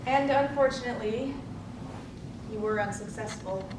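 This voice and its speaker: A woman's voice: a little high, normal speed, close to an everyday voice. A woman playing an attentive, inquisitive interviewer in a staged biographical play. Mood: curious. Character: attentive interviewer questioning an elderly activist. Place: library presentation space. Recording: echoey room, distant mic, loud noise.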